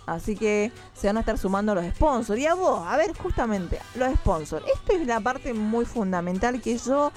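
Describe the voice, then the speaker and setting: A woman's voice: on the high side, expressive, normal speed, few pauses, darkish tone. A woman from Mendoza, Argentina, with an Argentine accent, hosting a morning radio show and chatting directly with her listeners. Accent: Argentine, mixed Mendoza and Córdoba. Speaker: radio host. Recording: music playing behind the voice. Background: music.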